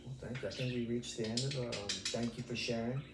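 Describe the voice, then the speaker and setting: A man's voice: medium pitch, steady tone, fast talker. A man interviewing his father for a school assignment, asking brief, plain questions. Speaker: student interviewer. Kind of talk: casual recorded interview. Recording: distant mic in an echoey room with noise.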